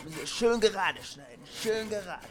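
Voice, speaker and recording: A man's voice: high voice, lively intonation, moderate pace. A man playing a frantic, abusive, overwrought character in an amateur comic radio play. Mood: urgent. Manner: overwrought, exclaiming. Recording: noisy, with sawing and rubbing wood.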